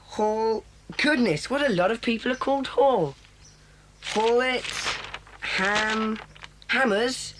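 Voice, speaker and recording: A woman voicing a decisive boy who leads a children's club in an audio drama, briskly taking charge. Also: a little high, background noise.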